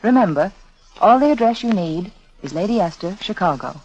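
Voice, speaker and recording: A woman's voice: medium pitch, expressive, normal speed, a little mellow, highs cut off, soft background music. A woman delivering a scripted 1940s radio beauty advertisement in a confiding, persuasive manner. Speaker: sponsor spokeswoman, beauty adviser. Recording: vintage 1940s radio broadcast recording.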